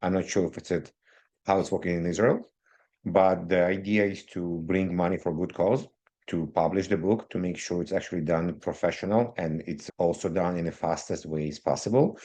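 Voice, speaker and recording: A male interviewer with a very low, deep voice. He talks casually and practically, laying out details in plain conversational terms. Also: fairly even intonation, normal speed, dark tone.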